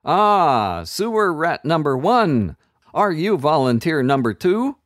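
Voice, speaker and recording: A man putting on a tyrannical fire wizard from a storybook, his voice jumping high into shrieks and sinister gloating. The delivery swings widely in pitch and the sound is close and dry. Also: big pitch swings, takes it slowly, no room sound. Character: tyrannical fire wizard, tall male enchanter, raging.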